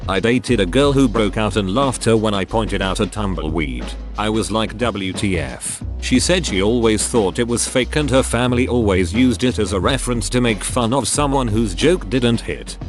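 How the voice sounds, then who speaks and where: A man narrating online forum posts as a scripted reading, delivering one first-person post after another in a steady read-aloud manner. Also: on the low side, normal speed, few pauses. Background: faint background music.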